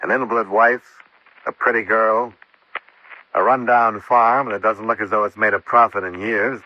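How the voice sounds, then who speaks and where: An American actor playing a shrewd, blunt insurance investigator in a golden-age radio mystery. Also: male voice, lowish voice, steady tone, normal speed, lightly acted. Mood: probing, reasoning. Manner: blunt, probing, matter-of-fact. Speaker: lead actor in radio detective drama. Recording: muffled old-time radio recording.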